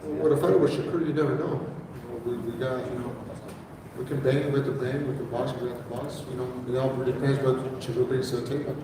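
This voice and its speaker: A man's voice: mid-range voice, steady tone, a little fast, background noise. A male boxer answering questions earnestly at a press conference. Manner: earnest, repeats "you know". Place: large event room. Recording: echoey hall picked up from far off.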